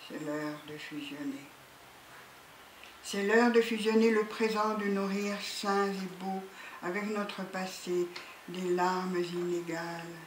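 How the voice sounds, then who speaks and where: A poet reads verse aloud extremely slowly and solemnly. The phrases are measured, with long pauses between them. The room is echoey, with some noise behind. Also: medium pitch, fairly even intonation. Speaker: poet reading at a book event. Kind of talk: slow, solemn poetry recitation. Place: indoor literary event room.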